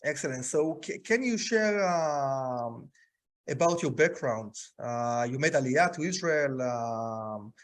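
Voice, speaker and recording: A man hosting a sales podcast, introducing his guest in a halting way with many fillers. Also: medium pitch, expressive. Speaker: podcast host. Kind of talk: interview hosting.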